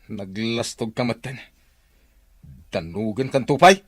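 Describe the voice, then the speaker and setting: An actor playing a menacing, taunting villain in a radio drama. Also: male voice, mid-range voice, a little slow, no echo, studio quality, lightly acted. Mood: threatening. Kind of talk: Ilocano radio drama acting.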